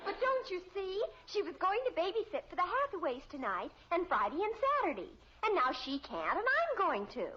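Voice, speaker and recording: An actress playing a gushing, dramatic American teenage daughter in a mid-century radio sitcom. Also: female voice, very high voice, very animated, fairly quick, few pauses, sharp tone, exaggerated voice. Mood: thrilled, dramatic. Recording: dull, hissy old radio recording.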